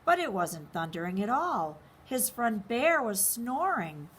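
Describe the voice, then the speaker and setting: A woman's voice: a little high, sing-song, a little slow, fairly bright tone, slight background noise. A woman reading a children's picture book aloud expressively, speaking directly to young listeners. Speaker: story reader.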